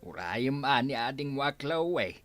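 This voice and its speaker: Radio drama role: complaining, demanding father, Ilocano accent, tense bright tone, animated delivery. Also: medium pitch, measured pace. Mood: grumbling. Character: grumbling, demanding father of a grown daughter.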